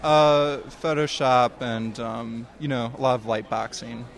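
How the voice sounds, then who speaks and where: Young male guest, mid-pitched voice, halting and unsure answers, outdoor interview. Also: steady tone. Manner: hesitant, halting, many ums. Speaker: guest, comics student. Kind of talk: casual interview.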